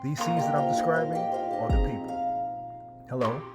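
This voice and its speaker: A man from New York City, hosting the show, reading a scripted intro in measured, short phrases. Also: a little high. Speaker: show host. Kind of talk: scripted narration. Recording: voice-over with loud music.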